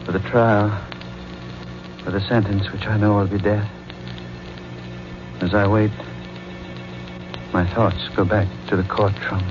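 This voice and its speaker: An actor playing a guilt-ridden, desperate judge in a melodramatic 1940s American radio drama. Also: male voice, fairly low pitch, level tone, measured pace, pauses often, close to an everyday voice. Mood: haunted, despairing. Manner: melodramatic, pleading and haunted. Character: panicked, guilt-ridden judge who murdered his wife. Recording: dull old radio recording, music behind.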